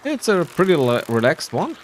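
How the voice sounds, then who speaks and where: A man's voice: on the high side, huge pitch swings, a little hiss or hum. A man giving casual, playful playthrough commentary on a video game, often musing to himself. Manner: playful, self-talking, drawn-out musing. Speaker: video game player and narrator.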